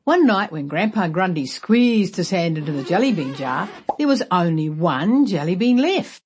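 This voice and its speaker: A woman narrating a children's story aloud as a storyteller, expressive and emphatic. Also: lowish voice, moderate pace, slight background noise.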